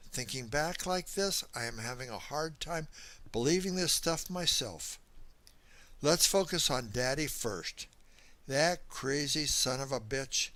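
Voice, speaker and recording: Animated male storyteller, folksy and dramatic. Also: on the high side, dry recording. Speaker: audiobook narrator. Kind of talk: first-person scripted story narration.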